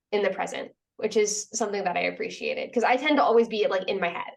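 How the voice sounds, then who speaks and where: A woman hosting a casual interview, encouraging and warm, with many "like" fillers. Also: medium pitch, recorded from a distance. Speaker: interviewer, host. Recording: voice set back from the mic.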